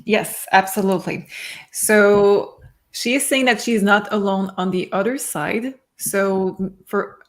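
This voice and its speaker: A woman's voice: mid-range voice, fairly even intonation, slow pace. A woman giving live intuitive card readings to viewers in an earnest, reassuring way. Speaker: psychic card reader.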